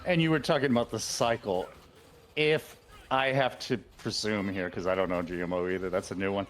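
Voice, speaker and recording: A man's voice: on the low side, steady tone, brisk, a little hiss or hum. Laid-back man joking on a game stream. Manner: laid-back, self-mocking humor. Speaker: game tournament co-commentator. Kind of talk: casual livestream game commentary.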